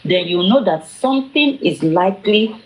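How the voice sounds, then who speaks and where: A woman's voice: mid-range voice, lively intonation, normal speed, low-quality recording. A woman who makes online videos, chatting and explaining things in a casual way. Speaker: YouTube creator.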